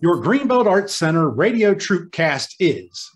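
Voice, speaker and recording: A man announces scripted radio-play credits. His voice is fairly high and moves in a lively, animated way. Faint music plays behind him. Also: a little slow.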